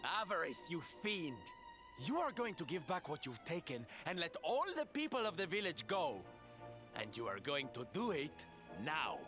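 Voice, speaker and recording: A woman's voice: sits low, sing-song, normal speed, music in the background. A woman voicing a bold, flamboyant adventurer in a video game, theatrical, coy and playful with mock sweetness. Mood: rousing, defiant.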